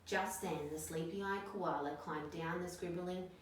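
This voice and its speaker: A woman reading a picture book aloud to children in an expressive storytelling style. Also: fairly low pitch, measured pace. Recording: very echoey room, distant mic, noisy.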